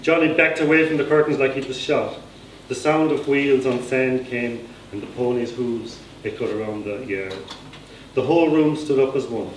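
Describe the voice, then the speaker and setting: A man's voice: mid-range voice, normal speed. A man with an Irish accent reading his own literary fiction aloud as a scripted narration. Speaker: author reading own novel extract. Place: indoor reading at a society gathering. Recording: echoey room, distant mic, faint noise.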